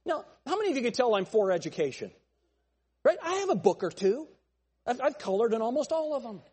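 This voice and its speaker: A male pastor preaching a fiery sermon with a thin, nasal voice, pressing his points with forceful, repeated phrases. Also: very high voice, sing-song, fairly quick, breathy edge, long reverb tail. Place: church sanctuary.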